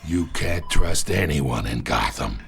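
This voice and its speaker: Gravelly old mob boss. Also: male voice, rumbling low, lively intonation, heavily acted. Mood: grave, taunting. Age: older. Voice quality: gravelly, pained. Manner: slow, drawling, streetwise gangster talk. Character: old wounded mob boss, sly, smug, menacingly familiar.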